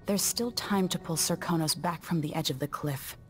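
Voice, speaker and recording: A woman's voice: low-pitched, moderate pace, soft background music, natural voice. An actress playing a determined young royal woman in a video game, terse, controlled and businesslike. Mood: cool resolve. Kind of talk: video game cutscene acting.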